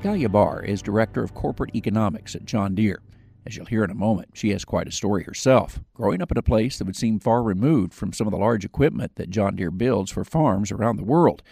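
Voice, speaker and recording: A man hosting a farm radio program, asking questions in a measured, conversational way. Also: lowish voice, lively intonation, vocal fry, warm tone, breathy edge, dry recording, faint music behind. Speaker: host of farm radio show. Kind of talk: radio interview.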